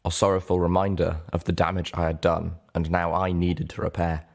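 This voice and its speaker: A man's voice: low-pitched, darkish tone. A man giving a dramatic scripted narration of a story as an audiobook reader.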